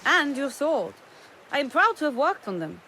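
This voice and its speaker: Bright-voiced, proud weaponsmith. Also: female voice, high voice, sing-song, moderate pace, a little hiss or hum, exaggerated voice, low-quality recording. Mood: proud, teasing. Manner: teasing, assured, blunt. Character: proud, wry woman weaponsmith of an assassin camp. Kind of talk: dramatic video game acting.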